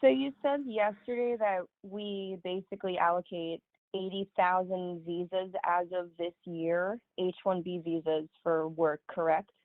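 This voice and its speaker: A woman's voice: on the low side, fairly even intonation, unhurried, hardly any pauses, no room sound. Polite caller in her twenties on a phone line. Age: 20s. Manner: polite, asks for clarification. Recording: dry tinny phone line.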